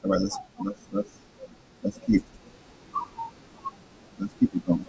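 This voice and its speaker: A hoarse man reading a book aloud with casual commentary, halting and stumbling over his words, his voice raspy. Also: background noise. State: hoarse, throat hurting.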